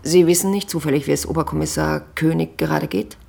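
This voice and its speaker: An earnest female investigator with a lowish, husky voice and some fry. She reasons deliberately and analytically, as in a radio drama. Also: steady tone, a little mellow, exaggerated voice. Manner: deliberate, analytical, hesitant before bold claims. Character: earnest female investigator explaining far-fetched theories. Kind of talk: modern German radio drama acting.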